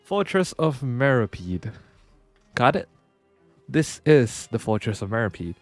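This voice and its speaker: A man's voice: mid-range voice, very animated, measured pace, no echo, soft background music. A man with a nasal voice reading the lines of a boastful, friendly young male prison inmate from a game. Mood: chummy boastful. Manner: boastful, chummy, showing off.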